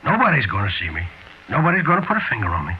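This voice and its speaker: An actor playing a sly, chuckling, quietly menacing fugitive crook in a 1940s radio drama, with ungrammatical American street slang. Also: male voice, medium pitch, wildly swooping pitch, very fast. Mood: coaxing menace. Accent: American, urban street slang. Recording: tinny, band-limited 1940s radio recording.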